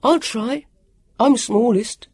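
Woman voicing a high, loud, plucky small boy. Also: slightly rough, full character voice. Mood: eager. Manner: calling out loudly, drawn-out words.

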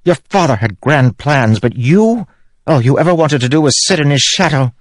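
A man voicing a high, sneering haughty queen. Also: lively intonation, normal speed, no room sound. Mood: scornful. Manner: scornful, sneering, high and pinched. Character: scheming queen, haughty and mocking. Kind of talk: audiobook character voice.

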